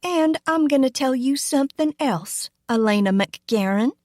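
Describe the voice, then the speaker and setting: A spiteful young Southern belle, as a female narrator plays her, scornful and emphatic. Her voice rides high and sneers through each line, like a schemer used to getting her way in an audiobook drama. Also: moderate pace, darkish tone, no echo, clean studio sound, close to an everyday voice. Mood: taunting. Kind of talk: audiobook character acting.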